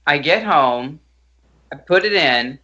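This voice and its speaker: A man co-hosting a casual online pop culture chat show, telling a childhood story in an animated way. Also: takes it slowly, muffled recording. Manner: animated storyteller, jumps into squeaky voices for himself. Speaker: podcast co-host.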